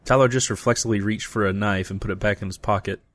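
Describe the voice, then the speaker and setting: A man playing a blunt, sarcastic adventurer with deadpan quips in a fantasy comedy audio drama. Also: fairly low pitch, quick pace, no room sound, lightly acted.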